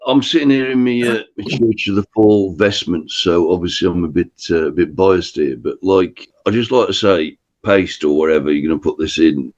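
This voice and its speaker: A man's voice: low-pitched, darkish tone, dull audio. A man guest on a podcast panel, talking in a jokey, wry way. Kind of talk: casual podcast chat.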